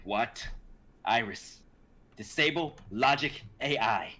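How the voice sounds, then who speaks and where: A young male lab assistant gone crooked, acted by a man, husky and forceful. His slow, emphatic delivery swings widely in pitch, desperate and hot-tempered, in a dramatic script reading. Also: expressive, exaggerated voice. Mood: tense, irritated. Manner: urgent, emphatic.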